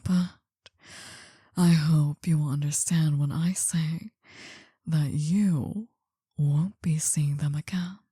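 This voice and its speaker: A woman's voice: low voice, no room sound, clean studio sound, exaggerated voice. A woman playing a possessive, world-weary older mafia boss in an intimate whispered ASMR roleplay, tender and confiding. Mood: possessive, coaxing. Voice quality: breathy, soft whisper.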